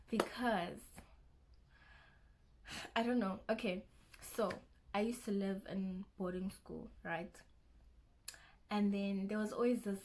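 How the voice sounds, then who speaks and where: A woman's voice: medium pitch, darkish tone, a little hiss or hum, low-quality recording. A young woman with a South African accent, chatting casually and a little nervously in her first vlog. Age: young adult. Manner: nervous, chatty, self-conscious, restarts often. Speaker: vlogger.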